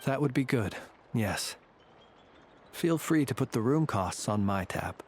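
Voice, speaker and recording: A courteous, secretive gentleman of a fantasy video game, acted by a man. He speaks at a middle pitch in formal, measured phrases with dry irony. Faint noise sits behind him. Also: lively intonation, lightly acted. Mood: dryly wry. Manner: formal, measured, dryly ironic. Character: courteous, wry, secretive male lantern-bearing Lightkeeper.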